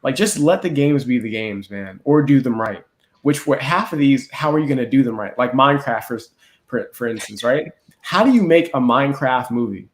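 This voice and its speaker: Male podcast host, mid-pitched, exasperated and sardonic in casual chat, clearly echoey room. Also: lively intonation, moderate pace. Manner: exasperated, sardonic mock-quoting. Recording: roomy echoing sound.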